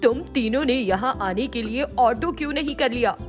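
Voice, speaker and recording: A woman's voice: fairly high pitch, normal speed, close to an everyday voice. A woman voicing a strict, indignant middle-aged mother-in-law who scolds and exclaims in a storybook tale. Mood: puzzled. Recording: music behind the voice.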